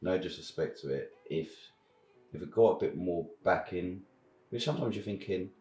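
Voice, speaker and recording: A man's voice: sits low, normal speed, dark tone, reverberant, soft background music. A British man giving his opinions on films and games in a casual, slangy chat as a reviewer. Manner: informal, slangy. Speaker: film and game reviewer.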